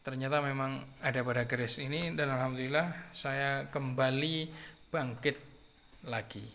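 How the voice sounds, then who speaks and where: A man in his thirties from East Java with a Madurese accent and a nasal voice, chatting casually to his viewers as a keris collector, unhurried and repeating himself. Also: mid-range voice, steady tone. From Jember, East Java, Indonesia. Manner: unhurried, repeats phrases, drops in soft filler sounds. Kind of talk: casual vlog talk to viewers.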